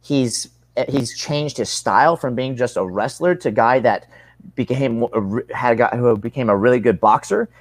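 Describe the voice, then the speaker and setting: A man's voice: mid-range voice, normal speed, no room sound. A young man giving casual interview answers as a combat sports athlete, earnest and rambling, often rephrasing himself.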